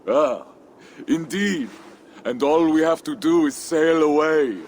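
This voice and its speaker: Slow, brash Viking warlord. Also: male voice, fairly high pitch, a little mellow, a little hiss or hum. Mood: hearty. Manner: boastful, defiant. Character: proud, brash Viking warlord, mature man. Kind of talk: dramatic cinematic game acting.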